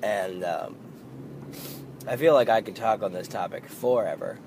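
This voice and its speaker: A man in his thirties talks slowly and haltingly, hoarse and croaking as his voice gives out. He rambles through a casual solo podcast and swears under his breath. Traffic noise clearly runs behind him. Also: lowish voice. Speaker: podcast host.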